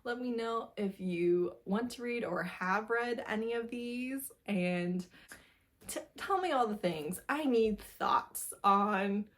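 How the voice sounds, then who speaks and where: A woman talks through books in a casual, chatty review style. Her voice sits at an average pitch for a woman. She is informal and emphatic, restating phrases to underline her opinion. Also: slow pace. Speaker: book reviewer vlogger.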